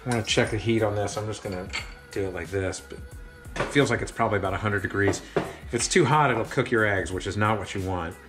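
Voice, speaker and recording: A man's voice: lowish voice, fast. Chatty middle-aged man in echoey kitchen. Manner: upbeat, chatty, talks straight to camera. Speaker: home cooking show host. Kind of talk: casual cooking demonstration. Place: large home kitchen, indoors. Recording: echoey kitchen, soft background music.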